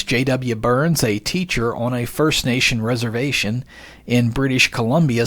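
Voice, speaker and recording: Folksy, jokey man hosting a podcast. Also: medium pitch. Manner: jokey, folksy, conversational, rhetorical questions. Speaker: podcast host. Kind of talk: scripted narration with folksy asides.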